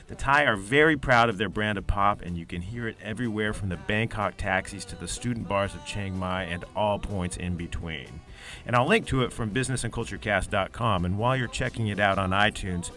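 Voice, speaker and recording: A man hosting a podcast, reading a measured, scripted show introduction into a close mic. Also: on the low side, pushed and bright. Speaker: podcast host.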